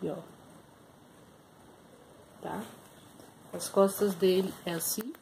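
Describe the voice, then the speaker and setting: A woman's voice: medium pitch, steady tone, slow pace, vocal fry, a little mellow, a little hiss or hum, rough recording. A young Brazilian woman with a Brazilian Portuguese accent, chatting casually to camera in a small room as she shows her thrift finds. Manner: chatty, shows items up close, many fillers. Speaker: vlogger. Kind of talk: casual haul video chat.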